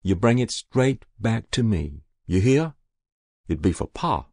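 A man narrating puts on a stern country mother from a storybook, with a rural Southern US accent. Her voice is lifted and very animated, blunt and exasperated, recorded dry and close. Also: lowish voice, measured pace, no echo, pitched above the performer's own voice. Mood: firm, relenting. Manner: scolding, dismissive, blunt. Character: stern, scolding rural mother, adult woman, exasperated but loving.

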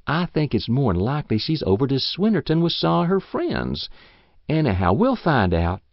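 A woman's voice: rumbling low, wildly swooping pitch, measured pace, vocal fry, no room sound, voice pushed up, heavily acted. A man voicing a stout, kindly old farm wife who fusses over a guest in rural speech. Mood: fussing. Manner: fussing, sympathetic, rural speech. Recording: dull lo-fi audiobook recording.

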